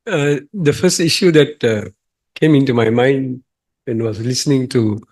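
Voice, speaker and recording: A young adult man from Singapore, a monk, making an earnest, emphatic comment from the audience at a talk, halting with fillers. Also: medium pitch, darkish tone. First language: English. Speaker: monk asking a question from the audience.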